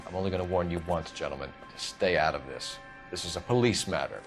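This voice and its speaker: A man's voice: low voice, a little fast, faint music behind. An American man playing an upright, by-the-book 1940s police detective in a video game, formal and authoritative. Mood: stern, controlled. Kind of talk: dramatic video game cutscene acting.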